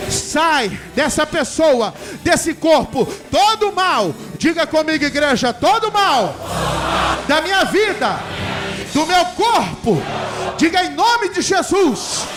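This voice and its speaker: A man's voice: very high-pitched, fairly bright tone. A man, a pastor, leading a church in a fervent healing prayer and commanding sickness to leave. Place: church service.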